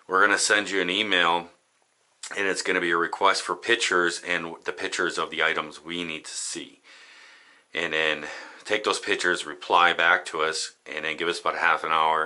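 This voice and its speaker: A man's voice: low-pitched, sharp tone. A man casually rambling in a home-renovation vlog, tired and achy, talking to a head-mounted camera in a small bathroom. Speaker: renovation vlogger.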